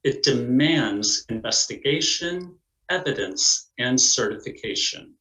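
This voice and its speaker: A man narrates a stage play from a script. His delivery is very slow and his tone bright and forceful, with a clearly echoey room around him. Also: medium pitch, expressive. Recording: roomy echo.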